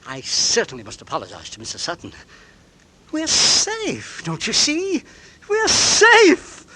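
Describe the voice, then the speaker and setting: An actor playing an anxious, suspicious husband in a 1940s radio drama, melodramatic and fraught, swinging between hushed and frantic. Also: male voice, very high-pitched, wildly swooping pitch, measured pace, full character voice. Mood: wild elation. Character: anxious suspicious husband, an Englishman, secretly dreading his poisoner wife. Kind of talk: 1940s radio drama acting, with first-person narration. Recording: vintage 1940s radio recording with music.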